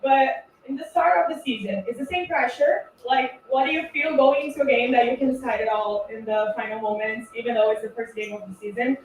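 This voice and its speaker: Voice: medium pitch, a little fast, a little hiss or hum. A reporter asking a friendly, chatty question at a press conference. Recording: dull, echoey, distant sound.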